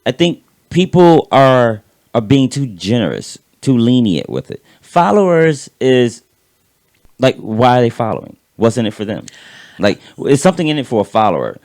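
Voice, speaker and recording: A man co-hosting a casual political chat show, talking with a raspy voice and vocal fry, rambling and repeating words as he swears freely. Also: medium pitch, very animated, normal speed, a little mellow. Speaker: podcast co-host.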